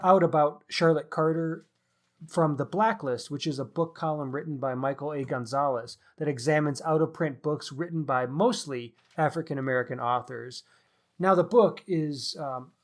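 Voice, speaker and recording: A man co-hosting a podcast, talking through a book review. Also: a little high. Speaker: podcast co-host.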